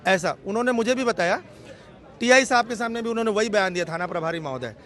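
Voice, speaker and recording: Man, high voice, earnest activist statement, emphatic repetition, outdoor news interview, crowd murmur. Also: animated, quick pace, a bit bright. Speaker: activist spokesman. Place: outdoors near a police station.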